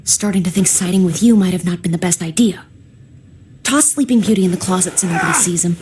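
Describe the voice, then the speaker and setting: A woman's voice: fairly low pitch, fast talker, a little mellow, a little hiss or hum, lightly acted. An actress playing a wry, pragmatic woman survivor who takes charge in a video game drama. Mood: wry, exasperated.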